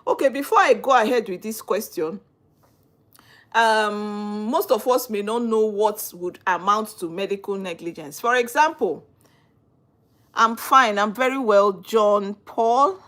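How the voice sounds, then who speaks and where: A woman's voice: medium pitch, unhurried, fairly bright tone. A young adult woman from Nigeria with a Nigerian accent, hosting a live stream, asking earnest rhetorical questions and greeting viewers by name. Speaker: livestream host on legal topics. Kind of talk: live-stream talk answering viewer comments.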